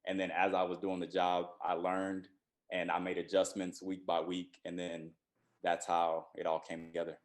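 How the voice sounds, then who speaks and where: A man speaking as a film director in a casual interview, halting and repeating words as he thinks. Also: low-pitched, completely flat delivery.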